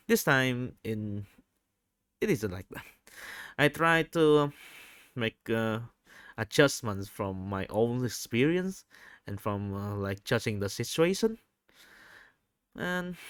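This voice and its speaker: Slow-talking man with a Vietnamese accent. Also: mid-range voice, lively intonation, a bit bright, clear, resonant tone, dry recording, studio quality. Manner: halting, repeats and rephrases phrases. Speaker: fan translator recording an audio log. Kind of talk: spontaneous, unscripted audio log.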